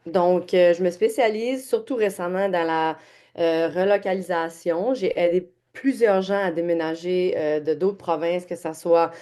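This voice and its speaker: A woman's voice: on the low side, measured pace, warm tone. A woman from the Gaspésie in Quebec with a Québécois accent, introducing herself as a real estate agent in a friendly webinar on a video call. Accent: Québécois French. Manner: chatty, self-introducing, laughs often, mixes English words. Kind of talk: webinar presentation.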